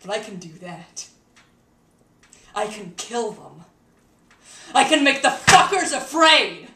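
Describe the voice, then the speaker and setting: A woman playing a haunted, embittered young wizard turned killer in a dramatic monologue, intense and halting, swinging between hush and outcry. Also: medium pitch, vocal fry. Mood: fierce, defiant. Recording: echoey room, distant mic, faint noise.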